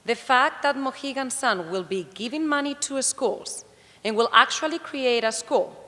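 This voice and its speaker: A woman's voice: a little high, fairly bright tone. A young woman from Spain, giving formal prepared testimony as a public commenter at a hearing. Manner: formal, reads from prepared remarks. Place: large public hearing hall. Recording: long hall reverb.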